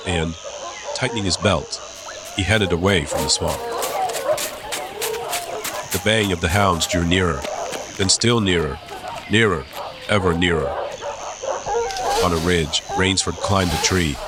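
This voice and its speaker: A man narrating a dramatized audiobook with suspenseful, deliberate phrasing. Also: deep voice. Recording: clear background ambience noise.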